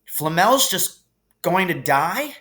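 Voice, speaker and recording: A man's voice: sits high, big pitch swings, slow pace, sharp tone, heavily acted. A man voicing a blunt, curious schoolboy sidekick who is easily impressed.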